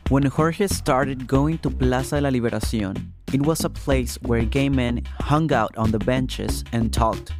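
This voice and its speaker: A man's voice: medium pitch, measured pace, hardly any pauses. A man hosting and reporting a podcast, reading scripted first-person narration. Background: music.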